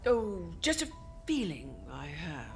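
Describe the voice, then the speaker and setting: A woman's voice: on the low side, very animated, slow, over music, full character voice. A woman playing a flustered, secretive department store executive in an old-time radio drama, hesitant and repeating words. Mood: guarded, uneasy.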